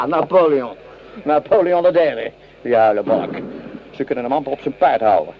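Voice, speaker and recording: A man's voice: mid-range voice, moderate pace, creaky, a little hiss or hum, close to an everyday voice. A man playing a hungry, mocking French common soldier in a Dutch radio drama, with coarse, sneering complaints. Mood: bitter scorn. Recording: dull lo-fi radio play recording.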